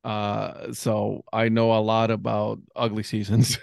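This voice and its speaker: A man from Puerto Rico hosting a podcast, chatty and quick to laugh, often restarting his sentences. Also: on the low side, completely flat delivery, vocal fry, a little mellow, no echo, studio quality. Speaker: podcast host. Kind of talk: casual podcast interview.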